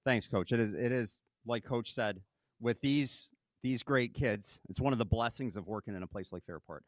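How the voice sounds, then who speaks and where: A man's voice: medium pitch, normal speed, no echo. A man, the head coach, giving an earnest speech to his team at a ring ceremony, often checking in with "okay?".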